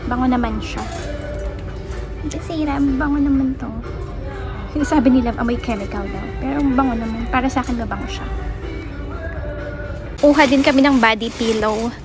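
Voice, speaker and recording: A woman's voice: on the high side, normal speed, pauses often. Woman from the Philippines, thirties, casual vlog talk, phone at arm's length, background music. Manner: chatty, mixes English into Tagalog, frequent "so" and "um". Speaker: vlogger. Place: outdoors in a snowy yard, then a car back seat, then a big store. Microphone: phone held at arm's length.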